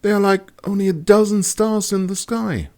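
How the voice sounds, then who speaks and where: A man's voice: high-pitched, normal speed, a little mellow, pitched above the performer's own voice. A man voicing a curious, outspoken young woman in a fantasy audiobook, exclaiming and asking questions. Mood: puzzled, blunt.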